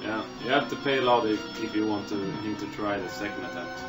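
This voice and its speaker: A man's voice: on the low side, steady tone, fairly quick. A man hosting a live game marathon, easygoing and matter-of-fact. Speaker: marathon host. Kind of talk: casual live commentary. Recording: distant mic, loud game music.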